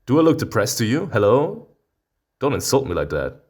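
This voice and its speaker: A man's voice: mid-range voice, very animated, a little fast, husky. A man doing let's play commentary on a visual novel, with theatrical, exclamatory, sweary reactions. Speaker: streamer playing a visual novel.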